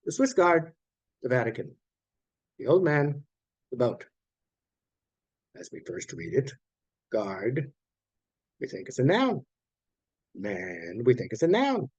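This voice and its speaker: A man's voice: mid-range voice, huge pitch swings, slightly rough, no room sound, highs cut off. Man teaching an online writing class, dry sound. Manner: halting, explanatory, with repeated phrases and self-corrections. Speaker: online course teacher of academic English writing. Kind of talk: informal online lecture to a class.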